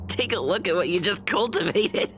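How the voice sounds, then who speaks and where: A woman's voice: mid-range voice, expressive, moderate pace, music in the background, natural voice. A woman playing a playful, chatty, teasing young woman in a video game. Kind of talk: video game character acting.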